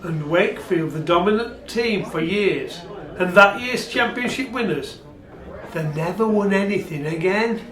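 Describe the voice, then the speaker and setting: An actor playing a wry, bleakly obsessed rugby supporter in a radio play. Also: male voice, high voice, lively intonation, unhurried, a bit bright. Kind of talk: dramatic radio play retelling. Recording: roomy, echoing sound.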